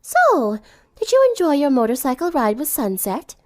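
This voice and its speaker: A woman voicing an elegant, sly teenage girl in a cartoon story, teasing with refined, drawn-out words. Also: high voice, wildly swooping pitch, voice pushed up.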